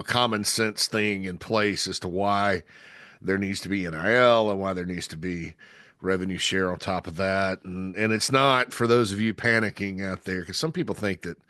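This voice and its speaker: A low-pitched man with a drawl talks his way through a solo podcast, stuffy from a cold. He rambles, restarting sentences and leaning on fillers. The sound is dry and close, with no room around it. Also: a bit bright, no room sound. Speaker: podcast host. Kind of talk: casual solo podcast talk.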